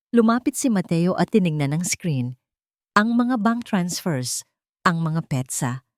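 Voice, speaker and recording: A woman's voice: on the low side, darkish tone, no echo, clean studio sound. A woman narrator reading a dramatic romance story in a scripted storytelling style.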